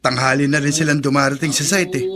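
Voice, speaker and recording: A man's voice: fairly high pitch, steady tone, fast talker, crowd noise, natural voice. A man playing a helpful, insistent young construction worker, eager and friendly, in a radio drama.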